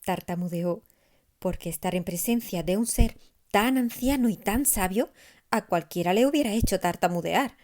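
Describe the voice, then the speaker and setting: A woman narrating a scripted children's story expressively, with deliberate emphasis. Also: medium pitch, darkish tone. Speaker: author narrating her own story.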